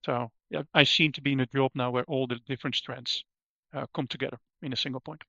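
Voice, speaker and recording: A man with a Dutch accent, speaking as an energy strategist guest and explaining things in a thoughtful way, with many ums and restarts, often trailing off quietly at the end of a phrase. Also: medium pitch, fairly even intonation, fast, vocal fry, dry recording, highs cut off. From Netherlands. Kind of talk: conversational expert explanation.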